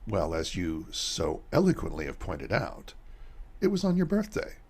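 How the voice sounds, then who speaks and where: A man playing a callous, defensive accomplice in a radio mystery drama, gravelly and insistent. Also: sits low, huge pitch swings, normal speed, a little mellow, exaggerated voice. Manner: dismissive, insistent. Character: callous, defensive male accomplice hiding a crime.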